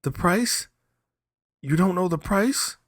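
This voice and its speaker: A man's voice: high-pitched, expressive, takes it slowly, no room sound, clean studio sound, pitched above the performer's own voice, heavily acted. A man voicing a frightened, guilt-stricken young man who pleads and exclaims in a strained way. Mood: anxious disbelief.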